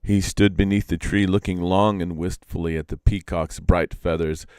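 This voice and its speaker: A man with a low voice narrates a storybook aloud. He is measured and deliberate, with expressive delivery, and the sound is close and dry. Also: clear, resonant tone, no echo.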